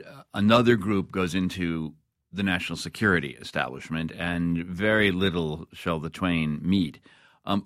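A man's voice: sits low, no room sound, studio quality. A man hosting an interview, putting questions and summing up points for his guest. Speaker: interviewer, host.

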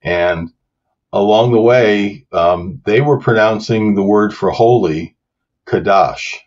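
Low-voiced man explaining unhurriedly, lo-fi. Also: takes it slowly. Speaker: podcast guest, Hebrew teacher. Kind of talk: casual podcast conversation.

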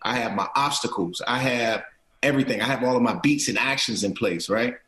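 A man's voice: mid-range voice, brisk, a bit bright. Earnest man in an echoey room. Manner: earnest, repeats and restarts phrases, says "right?" often. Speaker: guest, actor and producer. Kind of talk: casual interview talk.

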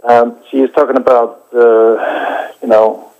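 A man from Iceland, a documentary director answering interview questions in a halting way, with many hesitations and restarts. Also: mid-range voice, level tone, gravelly. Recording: dull lo-fi recording.

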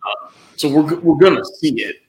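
A man's voice: fairly high pitch, expressive, moderate pace, reverberant, background noise. A man co-hosting a casual podcast, rambling with many fillers and restarts. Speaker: podcast co-host. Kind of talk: casual wrestling podcast chat.

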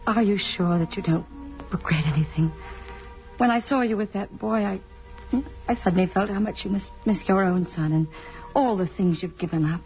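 An actress playing an anxious, tender young woman in love, halting and pleading, in 1950s radio drama style. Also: mid-range voice, natural voice. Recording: dull old radio recording with music.

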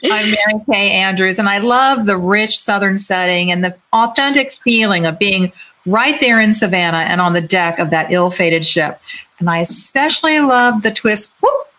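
A woman's voice: mid-range voice, lively intonation, normal speed, pushed and bright. A woman co-hosting a book show in a casual presenting style. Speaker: author and show co-host. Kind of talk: casual hosting with sponsor read.

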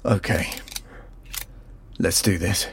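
An actor playing a reluctant male commanding officer in an audio drama, worried, terse and hesitant, with a husky voice. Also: low-pitched, steady tone, slow pace, heavily acted. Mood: uneasy, dry.

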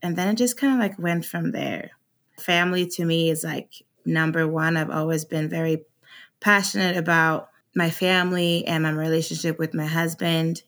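A woman's voice: sits low, steady tone, normal speed, fairly bright tone. A woman in her thirties from Sweden, a podcast guest talking openly and casually with frequent laughs and fillers. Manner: open, laughs easily, many fillers.

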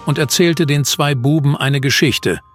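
A man's voice: fairly high pitch, unhurried. A man reading scripted storybook narration as narrator of a comic Christmas audio play. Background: music.

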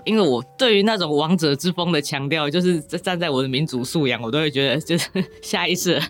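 A woman's voice: low voice, fairly quick. A woman with a Taiwanese Mandarin accent explaining things casually as a podcast guest, with wry, rambling humour.

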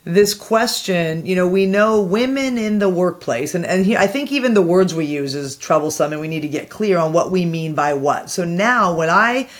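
A woman with a lower speaking voice talks as a podcast guest. She works through ideas out loud in a reflective, conversational way. She keeps checking in with the host by asking "right?". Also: expressive, moderate pace, hardly any pauses. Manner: low-voiced, thinks aloud, often asks "right?".

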